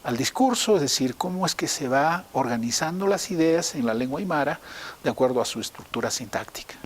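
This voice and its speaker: A man's voice: fairly high pitch, expressive, brisk, husky. A man giving an explanatory interview in a didactic way, rephrasing his points step by step. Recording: noticeable hiss behind the voice.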